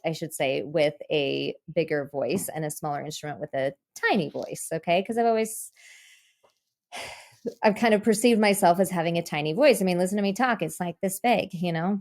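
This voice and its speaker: Laughing, lower-voiced woman podcast host. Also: moderate pace, darkish tone. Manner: laughs easily, thinks aloud with many fillers. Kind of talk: casual podcast conversation.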